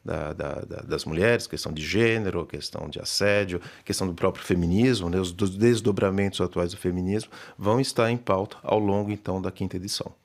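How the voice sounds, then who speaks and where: A literature professor answering radio interview questions in a measured way, with many hesitations and restarts. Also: male voice, deep voice, creaky. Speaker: literature professor and festival organizer.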